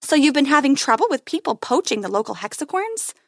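A woman's voice: high-pitched, big pitch swings, fast talker, no room sound, studio quality. A woman voicing a confident, breezy, wisecracking female space ranger in an audio story. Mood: polite.

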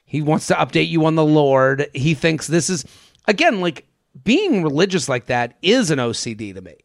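A man with a crackly, fry-edged voice hosts a casual comedy advice podcast. His pitch sits a little above average and he riffs loosely, repeating himself for emphasis. The sound is dry and close. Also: sing-song, moderate pace, no echo. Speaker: podcast host. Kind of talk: casual comedic advice chat, sometimes reading listener emails.

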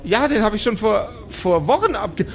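A man's voice: high voice, big pitch swings, moderate pace, gravelly, pitched above the performer's own voice, full character voice. A man voicing a flustered, startled woman in an improvised tabletop audio play, stammering and repeating words. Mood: flustered, defensive.

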